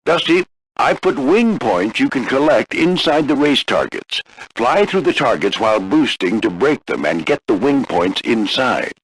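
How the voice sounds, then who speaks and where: An older American man voicing a gruff veteran warplane flight instructor in a video game, husky and folksy, coaching firmly but with encouragement. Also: medium pitch, very animated, a little slow, dry recording, low-quality recording. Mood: stern instructing. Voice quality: gravelly, husky. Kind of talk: scripted video game character lines.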